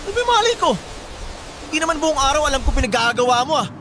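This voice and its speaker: Callous, high-pitched boyfriend. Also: male voice, sing-song, fast, very noisy. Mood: dismissive, accusing. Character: callous, hot-tempered twenty-six-year-old deadbeat boyfriend. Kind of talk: radio drama acting. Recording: loud music bed under the voice.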